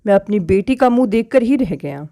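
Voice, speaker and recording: A woman's voice: mid-range voice, animated, a little fast, mellow, close to an everyday voice. A woman voicing a caring, plain-spoken elderly father in a family audio story. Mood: resigned. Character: caring elderly father, resigned about his daughter's fate.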